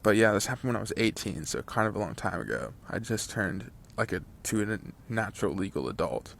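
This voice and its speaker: A man's voice: on the low side, big pitch swings, a little fast, gravelly, a bit bright, a little hiss or hum. A man in his twenties casually telling a long story, rambling with many fillers and restarts. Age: 20s. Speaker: channel host telling a story. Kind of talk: casual story time over gameplay.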